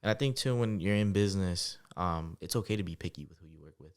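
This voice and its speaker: Low-voiced fast-talking young man. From United States, Salvadoran family. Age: 20s. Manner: relaxed storytelling with many fillers. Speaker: guest entrepreneur. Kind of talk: casual podcast interview.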